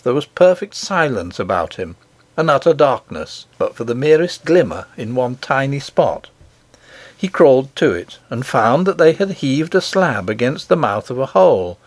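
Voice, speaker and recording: A man reading a children's storybook as an audiobook narrator, in a measured, expressive literary manner. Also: mid-range voice, no echo.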